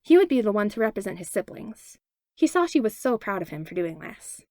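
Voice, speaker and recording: A woman narrating a fanfiction audiobook in an expressive read-aloud manner on a close mic. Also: medium pitch, fairly quick, no room sound. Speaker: fanfiction podfic reader. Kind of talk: storybook narration.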